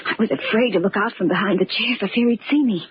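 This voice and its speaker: A woman's voice: mid-range voice, fast, a little hiss or hum, natural voice. Female actor as earnest, insistent confidante in a vintage radio mystery, mid-range voice, lo-fi old recording. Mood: earnest, confiding. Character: eager loyal woman confidante turned amateur sleuth. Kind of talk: 1940s radio mystery acting.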